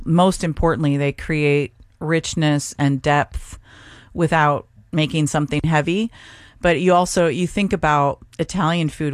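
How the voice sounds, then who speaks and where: A middle-aged woman chef from Seattle, talking thoughtfully in an interview with frequent pauses and restarts. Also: sits low, clean tone, dry recording, studio quality. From Seattle, Washington, USA. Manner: thoughtful, hesitant with many restarts. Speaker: chef and guest. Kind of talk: radio interview conversation.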